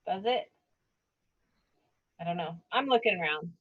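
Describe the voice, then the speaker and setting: A woman's voice: on the low side, animated, unhurried, husky, phone-quality sound. A woman bantering casually as a player in a tabletop game. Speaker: player and co-host.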